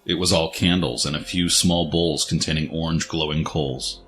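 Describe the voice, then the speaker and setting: A man reading scripted story narration in a serious, measured, dramatic manner. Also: very deep voice, steady tone, fairly bright tone. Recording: music under the voice.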